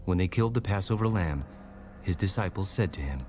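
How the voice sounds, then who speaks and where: A man narrating a dramatized audio Bible as a solemn storyteller, formal and measured. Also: low voice, expressive, darkish tone, a little hiss or hum. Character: solemn male scripture narrator. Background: clear background music.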